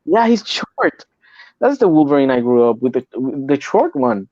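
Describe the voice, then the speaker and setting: A man's voice: fairly high pitch, moderate pace, gravelly, no room sound, muffled recording. A man from Puerto Rico with a Puerto Rican accent, chatting casually on a podcast, often repeating and restarting words as he talks. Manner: repeats and restarts words, animated when making a point.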